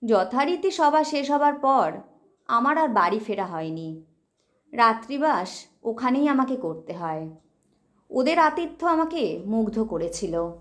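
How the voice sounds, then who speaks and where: A woman narrating a dramatic scripted audio story in a measured, expressive way, with deliberate pauses. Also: high voice. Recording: roomy, reverberant voice track.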